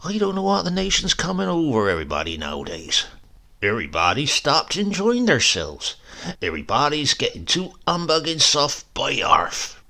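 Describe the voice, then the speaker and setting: A man voicing a gruff, unrepentant old countryman who tells tall tales in thick rustic speech. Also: a little high, wildly swooping pitch, moderate pace, pushed and bright, heavily acted. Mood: gruff defiant. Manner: dialect-heavy, crusty, grumbling. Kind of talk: storybook reading.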